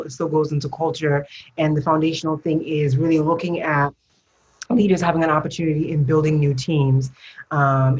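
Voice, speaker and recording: Husky-voiced effusive podcast host. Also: medium pitch, highs cut off. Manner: effusive, affirming, rambling questions. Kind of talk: podcast interview.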